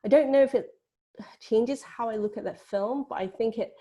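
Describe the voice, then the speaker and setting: A woman's voice: on the high side, normal speed, dark tone. A woman from Vietnam who grew up in Britain, speaking informally and reflectively on a panel, often hedging her words. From born in Vietnam, grew up in Britain. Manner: hedging, frequent "you know" and "kind of". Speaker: film producer on a panel.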